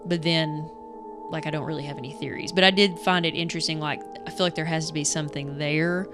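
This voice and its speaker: A woman's voice: deep voice, steady tone, fast talker, over music. A woman co-hosting a podcast in informal, conversational chat, voicing her own reactions as she discusses a story. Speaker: podcast co-host. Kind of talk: casual chat about a horror radio drama.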